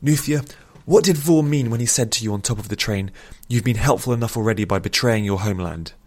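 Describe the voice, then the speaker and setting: A man's voice: on the low side, fairly quick, darkish tone, pitched above the performer's own voice, lightly acted. A man voicing a hot-tempered, vengeful young male hero in a storybook. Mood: shocked questioning.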